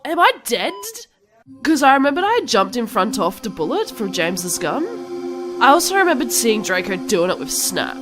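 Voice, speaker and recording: A woman's voice: on the high side, sing-song, normal speed. A woman voicing a gushing, melodramatic teenage goth girl vampire, narrating parody fan fiction in an over-the-top dramatic way. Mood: dramatic, indignant. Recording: loud music behind the voice.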